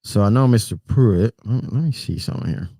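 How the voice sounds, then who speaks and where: Deep, mellow Puerto Rican trucker. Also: male voice, a little fast, no echo, studio quality. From Puerto Rico. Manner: relaxed, rambling, greets chat by name, chuckles often. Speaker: trucker livestream host. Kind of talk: casual livestream chat with viewers.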